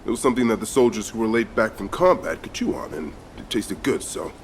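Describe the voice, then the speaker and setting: An actor playing an earnest, dutiful male support officer in a video game, speaking in a measured, polite way. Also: fairly low pitch, lively intonation, reverberant, slight background noise. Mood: explaining, hesitant.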